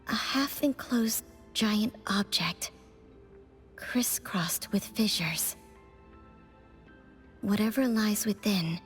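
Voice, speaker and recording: An actress as an enigmatic video game witch who tells fortunes. She speaks very slowly and cryptically at a medium pitch, calm and deliberate. Music plays behind her. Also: close to an everyday voice. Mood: mystical, trancelike. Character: enigmatic fortune-telling witch, calm and mystical young woman. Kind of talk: scripted video game voice acting.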